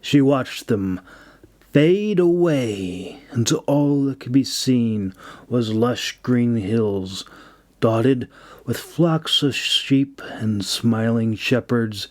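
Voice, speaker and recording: A man reading a scripted fantasy audiobook in a measured storytelling manner. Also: mid-range voice, lively intonation, darkish tone. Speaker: audiobook narrator.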